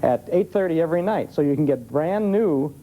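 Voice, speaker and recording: A man's voice: a little high, animated, brisk, mellow. An American man speaking as an expert guest in a TV interview, explaining things conversationally. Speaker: guest, old-time radio expert. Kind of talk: live TV interview. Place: television studio with audience. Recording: noisy vintage TV broadcast.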